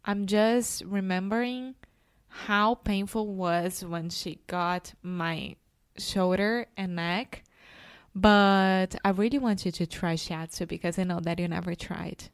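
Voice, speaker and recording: Slow-talking woman. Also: medium pitch, fairly even intonation, clean tone. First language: Portuguese. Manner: laughs often, careful non-native phrasing. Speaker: podcast co-host. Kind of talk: casual chat.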